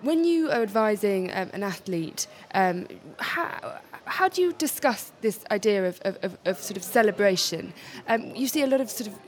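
A woman's voice: mid-range voice, expressive, moderate pace, slight background noise. Woman radio host asking rambling questions. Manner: scripted intros, rambling hedged questions. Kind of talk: radio presenting and interviewing.

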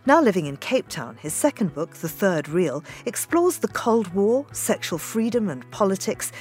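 A woman's voice: medium pitch, big pitch swings, moderate pace, darkish tone, soft background music. A woman from Zimbabwe who hosts the interview, introducing her guest and asking direct questions in a composed manner. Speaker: interviewer host. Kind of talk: radio interview.

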